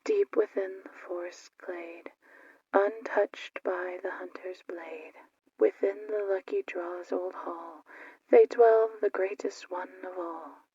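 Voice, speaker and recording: A woman voicing a solemn magic mirror spirit in a fairy-tale audio drama, chanting verse in a breathy whisper. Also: lowish voice, steady tone, slow pace, dark tone, no room sound, lo-fi sound, exaggerated voice. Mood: solemn, eerie.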